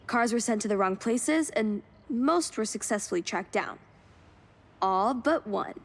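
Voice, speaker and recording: A woman's voice: on the high side, lively intonation, unhurried. A woman playing an upbeat, encouraging car enthusiast in scripted video game cutscenes, speaking in an enthusiastic, conversational way. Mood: friendly, upbeat. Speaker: game character, car-hunting companion. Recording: music under the voice.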